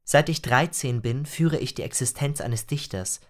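An actor playing an earnest, ironic writer recounting his youth in a measured, theatrical literary radio narration. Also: mid-range voice, natural voice. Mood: wry, reflective. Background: music.

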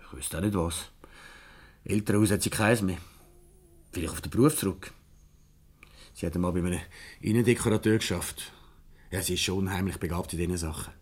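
Husky, low Swiss German husband, flustered. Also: male voice, brisk, pauses often, a little mellow. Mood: regretful. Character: rueful, flustered husband Peter in marital crisis. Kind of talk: 1970s radio comedy acting in dialect.

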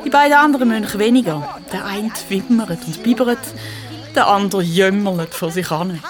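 A woman's voice: mid-range voice, animated, moderate pace, over music. A woman narrating a scripted children's audio story.

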